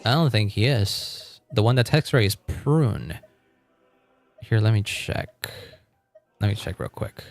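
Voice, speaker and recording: A man's voice: fairly low pitch, slow, vocal fry, pauses often, soft background music. A man live-streaming a game, chatting casually and thinking aloud in an informal way, with casual swearing. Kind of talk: casual live-stream chat while gaming.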